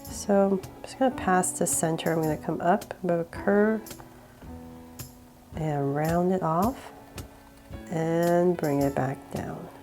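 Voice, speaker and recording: A woman with a cold guiding viewers step by step through a drawing, relaxed and laughing a little at her own slips. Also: lowish voice, slow, pauses often, soft background music. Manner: relaxed, with small laughs and self-corrections. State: sick, voice a bit off. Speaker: drawing tutorial host.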